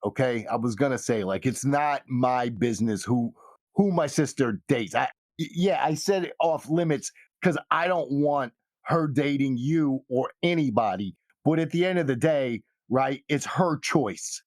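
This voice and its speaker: A man's voice: mid-range voice, measured pace, no room sound, natural voice. A man voicing a hot-tempered, protective brother in a tabletop game, blunt and exasperated, repeating himself. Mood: protective, threatening.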